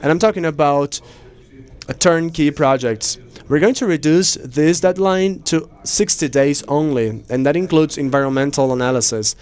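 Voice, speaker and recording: A man interpreting conference speeches live, in measured phrases with pauses mid-sentence. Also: a little high, a little mellow, clean tone. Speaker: simultaneous interpreter.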